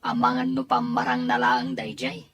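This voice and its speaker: Woman playing concerned Filipino younger sister, confiding, animated intonation, faint music behind, audio drama. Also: on the high side, normal speed. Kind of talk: Ilocano audio drama acting.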